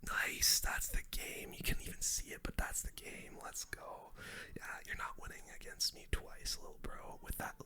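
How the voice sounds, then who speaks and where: Casting: ASMR game streamer, sex open, breathy bright whisper throughout, slangy play-by-play delivery. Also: normal speed. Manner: casual gamer slang, talks through each move. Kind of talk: whispered ASMR gameplay commentary.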